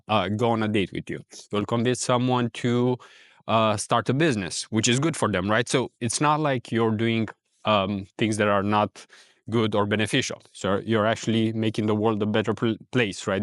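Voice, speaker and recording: A man from Romania with a Romanian accent, talking casually in a reflective, rambling vlog with many pauses and fillers. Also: on the low side, no echo, studio quality. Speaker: ecommerce vlogger.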